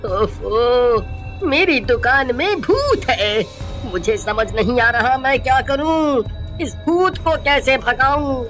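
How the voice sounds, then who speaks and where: Casting: envious, fretting cartoon shopkeeper, male played by a woman, very bright and slow, muttering and scheming. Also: sits high, expressive, full character voice. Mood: fretful. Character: scheming, envious male sweet-shop owner, given to fretting. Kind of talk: animated moral-story voice acting. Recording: music behind the voice.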